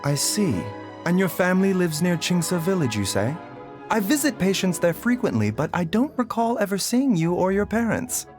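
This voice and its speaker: A man voicing a gentle, courteous doctor who speaks in a soft, formal way in a video game. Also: high voice, very animated, moderate pace, over music.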